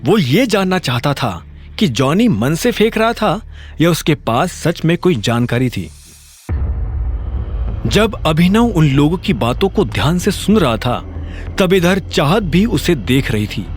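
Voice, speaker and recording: Male audio-series narrator, dramatic scripted delivery, fairly high voice with big pitch movement, music underneath. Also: big pitch swings, unhurried.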